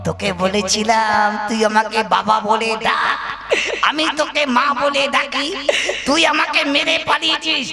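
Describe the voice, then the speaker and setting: A performer voicing a rude, scheming puppet husband in a Bengali folk puppet comedy, loud and teasing, exclaiming and playing straight to the audience. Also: high-pitched, level tone, moderate pace, vocal fry. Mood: gloating. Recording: loud music behind the voice.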